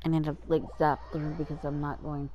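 A woman's voice: deep voice, flat delivery, fairly quick, dull audio, a little hiss or hum. A woman streaming a game with casual, muttered, self-deprecating commentary and some swearing.

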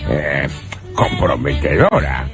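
A shameless Argentine storyteller in a comic radio play. His fairly high, gravelly voice rises and falls dramatically over prominent music. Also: expressive, slow pace, full character voice. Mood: wry reminiscing. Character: shameless adult man reminiscing as comic storyteller. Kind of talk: scripted comedic radio narration. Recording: loud music behind the voice.

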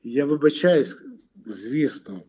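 A man's voice: mid-range voice, slow, warm tone, husky. A middle-aged man arguing over a video call from his room at home, aggressive and mocking, swearing and throwing insults. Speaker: video chat stranger. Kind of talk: heated argument. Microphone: webcam on a video call.

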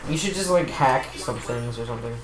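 A teenage boy trades blunt, sarcastic remarks while gaming, often trailing into a mumble. His voice sits at an ordinary pitch. The room is echoey and game music plays behind him. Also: brisk. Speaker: co-player. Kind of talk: casual let's play banter.

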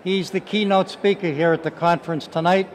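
A man's voice: high-pitched, steady tone, a little fast, a little hiss or hum. An older man with a Boston accent hosting a live radio show, talking upbeat like an announcer with many fillers, at a desk microphone. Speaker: radio host. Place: conference hall, indoors. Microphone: desk microphone, headphones.

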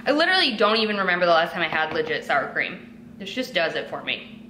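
Bright, bubbly young woman chatting at home. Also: fairly low pitch, expressive, fast talker, slight background noise. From western New York. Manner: bubbly and rambling, lots of "like" and "um". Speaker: vlogger. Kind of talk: casual chatty vlog. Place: kitchen and bedroom at home.

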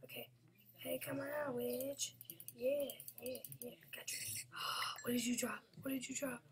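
A woman whispering her way through a block-building game, talking softly to herself and sometimes breaking into a playful sing-song chant. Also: fairly high pitch, steady tone, unhurried. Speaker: gaming video creator. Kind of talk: whispered gameplay commentary.

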